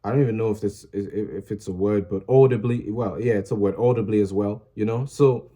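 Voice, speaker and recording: Young man, lowish voice, mellow tone, casual vlog talk, rambling with lots of fillers, earnest and encouraging. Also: fairly even intonation, brisk. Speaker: small music channel creator.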